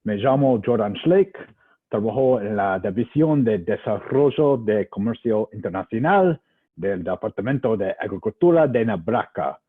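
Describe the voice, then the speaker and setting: A man's voice: fairly low pitch, expressive, measured pace, slightly rough. A man whose first language is English giving a prepared speech in careful, effortful Spanish as a state agriculture trade official, speaking over a video call. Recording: tinny phone-like line.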